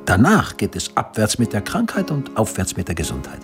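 A self-convinced period physician in a radio drama. He lectures grandly with very lively intonation while music plays clearly behind him. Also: fairly low pitch, a little fast. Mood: pompous, explaining. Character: fervent eighteenth-century male doctor, pompous and self-convinced. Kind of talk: German radio drama acting. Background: instrumental music.

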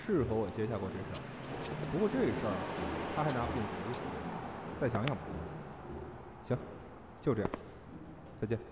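A man playing a brisk, guarded, businesslike talent manager in a modern audio drama. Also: fairly low pitch, very animated, reverberant, heavy background noise.

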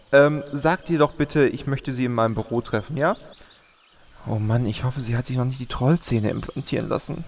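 A man's voice: on the high side, long reverb tail, natural voice. A man playing a bossy, harried film producer in a German comedy audio drama, announcing with exasperation. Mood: weary, exasperated.

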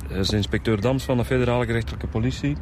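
An actor with a Flemish accent playing a wry, impatient police inspector in a radio drama, dry and sardonic. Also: male voice, medium pitch, racing, background noise, lightly acted. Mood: probing.

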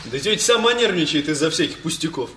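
A man's voice: on the high side, animated, fast talker, sharp tone, husky, full character voice. A male actor playing a cheeky, laid-back teenage nephew in a radio play, dry and flippant. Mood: flippant defiance.

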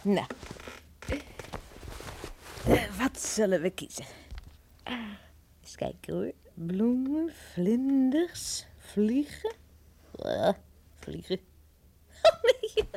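An actress playing a sceptical but good-humoured, chatty housekeeper who exclaims and mutters to herself in a children's audio drama. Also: female voice, mid-range voice, huge pitch swings, extremely slow, vocal fry, pauses often, warm tone, no echo, heavily acted. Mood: playful, dreamy.

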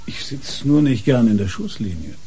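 A man's voice: medium pitch, expressive, moderate pace, dark tone, lightly acted. A man playing a cocky, unflinching police inspector with a dry, curt manner in a radio play. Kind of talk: radio drama acting. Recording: noisy background ambience.

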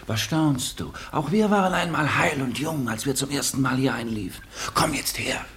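An actor playing a furtive, giggling old sailor-sorcerer in 1950s German radio drama, speaking in a hushed, urgent, coaxing way. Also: male voice, mid-range voice, moderate pace, natural voice. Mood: impatient coaxing. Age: older.

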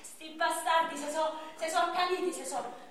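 A woman performing a theatrical monologue as a battered, frail young victim, with a Roman accent and halting, repetitive, coarse speech. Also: sits high, a bit bright. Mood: anguished, outraged. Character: battered frail 31-year-old Roman victim, raging and wounded. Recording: echoey stage, distant mic, background noise.

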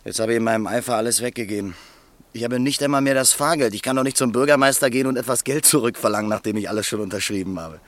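An actor playing a relieved man freed from a false identity, talking in a rambling, halting way. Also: mid-range voice, fairly bright tone, no room sound, lightly acted.

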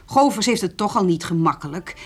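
A woman's voice: lowish voice, animated, a little fast, slightly rough, soft background music. An actress playing a middle-aged farmer's wife, a conciliatory and soothing mother in a radio drama. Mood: placating. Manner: soothing, peacemaking.

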